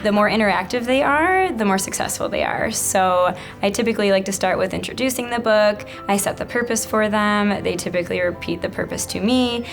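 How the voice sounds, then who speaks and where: A woman's voice: medium pitch, brisk, gravelly, few pauses, faint music behind. A woman kindergarten teacher explaining lessons in an instructional way and talking through a picture book. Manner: instructional, repeats and expands on answers. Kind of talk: explaining teaching and leading an interactive read-aloud.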